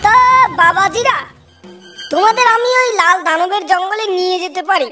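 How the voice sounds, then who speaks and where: A woman's voice: very high-pitched, moderate pace. A woman voicing a rustic village boatman in a Bengali cartoon, wary yet greedy and fond of drink, in an exaggerated manner. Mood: eager, greedy. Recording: distant voice under loud music.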